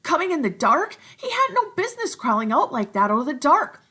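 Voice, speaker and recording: A woman voicing a shrill, anxious schoolboy while reading a novel aloud. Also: fairly quick, exaggerated voice. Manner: shrill, pleading, excited.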